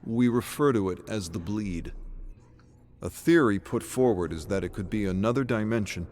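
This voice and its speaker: A man voicing a formal, secretive company officer in a dramatic story, speaking politely and with careful, measured phrasing. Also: on the low side, expressive, darkish tone, natural voice. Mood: secretive, grave.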